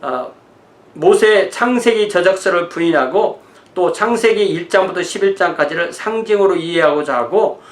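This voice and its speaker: A man's voice: high voice, lively intonation, normal speed. A man, a pastor giving an informal, conversational lecture in an explanatory way. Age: 60s. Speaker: pastor teaching church history. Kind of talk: informal lecture mixing notes and asides. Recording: roomy, echoing sound.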